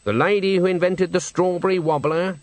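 A man reading aloud and voicing a stern old woman witch who speaks calmly and dryly. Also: high-pitched, brisk, dry recording, pitched above the performer's own voice, close to an everyday voice. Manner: calm, dry, knowing.

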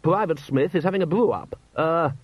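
A man's voice: fairly high pitch, moderate pace, husky, dry recording, muffled recording, voice pushed up. A man voicing a dutiful butler turned soldier, very polite, formal and deferential in an audiobook story. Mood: courteous, deferential.

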